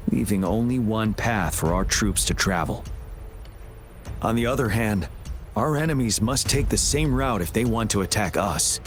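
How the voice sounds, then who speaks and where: An actor playing a composed army general in a video game, speaking in a formal, measured, authoritative way. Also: male voice, fairly low pitch. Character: composed male army general briefing his troops. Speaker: military commander. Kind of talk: scripted video game dialogue.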